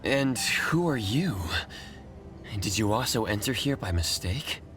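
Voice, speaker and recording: A man's voice: on the low side, animated, a little slow, background noise. An actor playing an earnest young male adventurer in a video game, inquisitive and often thinking aloud.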